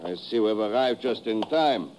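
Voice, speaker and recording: A man's voice: lowish voice, expressive, normal speed, a little mellow, slight background noise, lightly acted, rough recording. A man playing a menacing, formally polite spy villain in a 1950s radio drama, commanding and cool. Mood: smug menace. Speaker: supporting actor in a radio drama.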